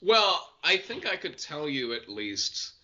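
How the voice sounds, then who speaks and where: A man in his twenties with a deep voice, a voice actor guest chatting casually and laughing easily. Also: slow pace. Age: 20s. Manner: relaxed, self-deprecating, laughs easily. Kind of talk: casual podcast interview. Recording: dull lo-fi podcast audio.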